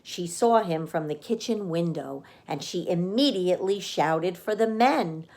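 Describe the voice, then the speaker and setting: A woman narrating a children's storybook as a read-aloud reader, with expressive delivery. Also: fairly low pitch, a little slow.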